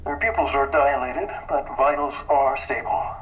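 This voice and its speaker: An actor playing a cold, methodical researcher dictating experiment logs in a detached clinical manner, heard through a tape filter in a game. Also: male voice, mid-range voice, normal speed. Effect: radio-like recorded tape filter. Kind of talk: scripted audio log. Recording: muffled distant tape playback, lo-fi.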